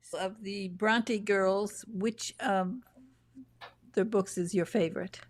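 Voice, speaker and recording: A woman's voice: mid-range voice, fairly even intonation, slow pace, no room sound, studio quality. A woman host, living in Texas, chatting and reminiscing effusively while she interviews an author. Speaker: show host. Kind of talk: casual interview chat. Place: home in Texas. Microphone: video call.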